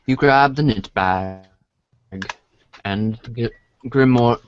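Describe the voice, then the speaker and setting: A man reading a storybook aloud in a dramatic read-along style, halting and stumbling over long words. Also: mid-range voice, clean tone, lo-fi sound. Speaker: reader in a comedic reader's theater show.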